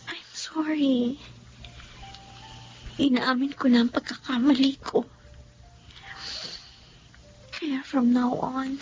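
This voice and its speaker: A woman's voice: high voice, dark tone, poor audio. A woman playing Sabrina, an emotional young woman in love, in a radio drama, often tearful and pleading. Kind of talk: Filipino radio drama acting.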